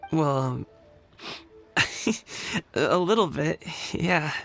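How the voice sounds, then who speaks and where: A man's voice: on the high side, lively intonation, very slow, vocal fry, fairly bright tone, faint music behind, exaggerated voice. An actor playing an earnest, modest young man in a video game, a devoted son who speaks hesitantly and bashfully. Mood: bashful, touched. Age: young adult.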